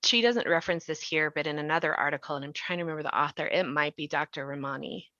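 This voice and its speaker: A woman with a low-pitched, forceful voice hosts a casual discussion. She explains and comments conversationally, and the audio is very dry with a slightly dull, muffled top end. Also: fast talker, dry recording. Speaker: podcast host and writer.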